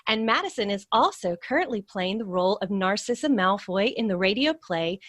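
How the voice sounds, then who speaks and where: A woman's voice: mid-range voice, lively intonation, brisk, a bit bright, dry recording, clean studio sound. A woman hosting a podcast, speaking as an interviewer introducing and chatting with a guest. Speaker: podcast host. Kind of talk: scripted podcast introduction and friendly interview chat.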